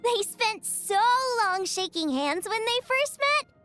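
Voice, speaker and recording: A woman voicing a bubbly, eager teenage girl chef in scripted video game lines, perky, earnest and expressive. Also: very high voice, takes it slowly, full character voice. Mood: cheerful, chatty.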